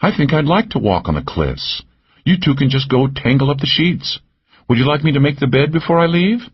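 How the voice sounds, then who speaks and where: A man voicing a cool, dry-witted woman drug agent in an audiobook, with a deadpan manner. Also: medium pitch, brisk, slightly rough, highs cut off, voice pushed up. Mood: wry. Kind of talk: audiobook character voice.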